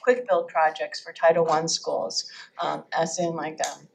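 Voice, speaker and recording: Woman with a Japanese accent, lower voice, halting public testimony, faint background noise. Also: little pitch movement, gravelly, poor audio. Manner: many fillers, self-correcting. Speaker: testifier at a legislative hearing.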